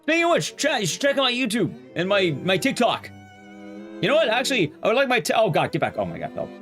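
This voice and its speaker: A man's voice: high voice, huge pitch swings, fast talker, a bit bright, soft background music. A man streaming a game, chatting casually in a rambling, blunt, conversational way with his viewers. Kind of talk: casual live-stream chat while gaming.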